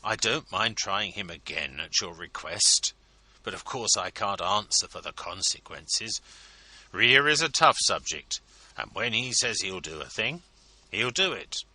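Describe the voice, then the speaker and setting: A man voicing an awkward, slow-witted young gentleman who talks in a halting, stiff way. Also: on the low side, expressive, exaggerated voice, poor audio.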